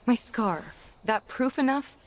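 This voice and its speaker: A woman's voice: a little high, expressive, slow, slight background noise. An actress playing a guarded, earnest young woman in a naturalistic video game cutscene. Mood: defensive, indignant. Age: young adult. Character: young woman, earnest, guarded, hurt and defensive childhood friend.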